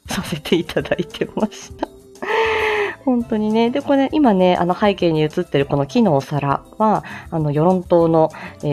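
A woman hosts a casual livestream in a distinctly low voice. Her intonation moves around a lot as she chats in a giggly, self-deprecating way. Faint music plays behind her. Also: dark tone. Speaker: livestream host and voice actor. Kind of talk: casual morning livestream chat.

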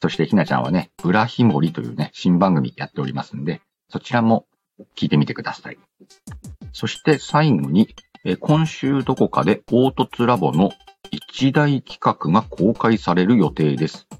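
A man's voice: deep voice, animated, fairly quick, a little mellow, breathy edge, highs cut off, soft background music. A man hosts a casual radio-style show, reading listener messages aloud and adding playful quips. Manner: playful quips and retorts to what is read. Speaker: host of an audio show reading listener letters.

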